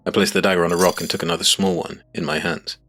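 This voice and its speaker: A man reading scripted first-person fiction as narrator, in a grave, measured, dramatic way. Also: deep voice, level tone, fairly bright tone.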